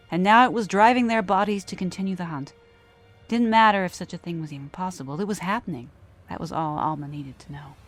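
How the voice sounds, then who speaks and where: A woman reading a scripted horror story as narrator, with dramatic, measured delivery. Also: lowish voice. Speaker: storyteller and show host.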